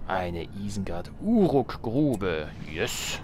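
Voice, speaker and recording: A man's voice: lowish voice, big pitch swings, slow, background noise. A young adult man doing casual let's play commentary, chatty and thinking aloud as he goes. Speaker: let's player. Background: game music.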